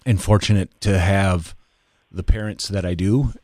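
Male podcast guest, fifties. Lower register, dry sound, thoughtful and halting personal storytelling. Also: fairly even intonation, a little mellow, no room sound. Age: 50s.

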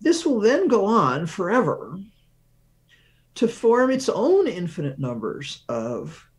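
A man giving a measured, deliberate explanation as an expert guest in a science interview, stressing key words. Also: high-pitched, wildly swooping pitch. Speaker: guest research professor, physicist.

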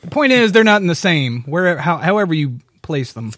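A man's voice: a little high, sing-song, moderate pace, a bit bright, no echo, studio quality. A man taking part in casual podcast banter. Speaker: podcast participant.

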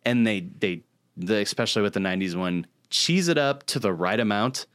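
A man's voice: on the low side, lively intonation, brisk, fairly bright tone. A man co-hosting a podcast, chatting casually about pop culture in an enthusiastic, opinionated way. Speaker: podcast co-host.

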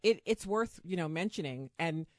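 Middle-aged female podcast host, fairly low register, casual conversational delivery, very dry studio-like sound. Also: expressive, moderate pace, no echo. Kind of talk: casual interview chat.

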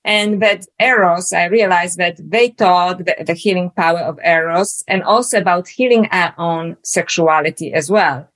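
A woman's voice: on the low side, normal speed, few pauses, a bit bright. A woman from Poland with a Polish accent, speaking as a guest author in a podcast interview, reflective and searching, with many fillers and restarts.

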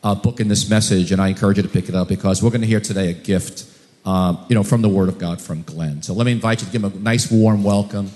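A man, the church's pastor, introducing a guest preacher in a folksy way, pausing on fillers. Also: on the low side, fairly even intonation, darkish tone, slight background noise. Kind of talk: church announcement. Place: church sanctuary. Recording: long hall reverb.

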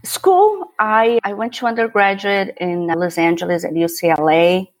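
A woman's voice: mid-range voice, normal speed, no room sound. A middle-aged woman from Brazil with a Portuguese accent, speaking as an interview guest in an easygoing way, repeating words as she thinks. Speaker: guest, tax attorney and CPA. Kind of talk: casual interview talk.

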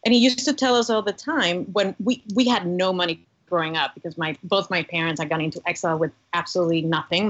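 A woman's voice: fairly low pitch, a bit bright, muffled recording. A woman from the United States, a guest on a podcast, speaking in an earnest, articulate way. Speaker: guest, religious freedom advocate. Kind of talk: podcast interview.

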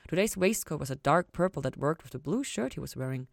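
Low-voiced woman reading a story. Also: lively intonation, quick pace, creaky, no room sound, studio quality. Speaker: fan fiction reader. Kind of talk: audiobook-style story narration.

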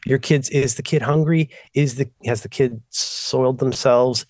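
A man's voice: medium pitch, measured pace, no room sound, clean studio sound. A middle-aged man co-hosting a podcast, chatting casually and telling stories in a rambling way that keeps stopping and restarting itself. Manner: rambling, self-interrupting, animated storytelling. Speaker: podcast co-host. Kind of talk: casual chat.

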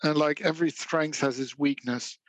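A man with a Dutch accent weighs his words in a relaxed interview, thoughtful and even-handed, breaking into a quick laugh now and then. His voice sits a little high for a man. The sound is close and dry, with no room around it. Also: dry recording. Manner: measured and reflective, with occasional laughs. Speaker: guest, auction house specialist. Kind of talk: conversational interview.

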